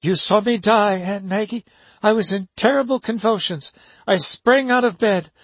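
A man voicing a young woman in bed who speaks softly but urgently, giving firm instructions. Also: sits high, moderate pace, no echo, voice pushed up. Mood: hushed, urgent. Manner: soft, hushed, then sharp and urgent. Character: young woman, resolute, secretive, ill in bed.

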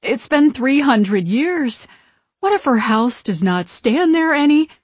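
A woman voicing a timid, eager witch in an audiobook, a nervous sister who speaks in a hesitant, hurried, pleading way. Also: medium pitch, sing-song, a little mellow, breathy edge, no room sound.

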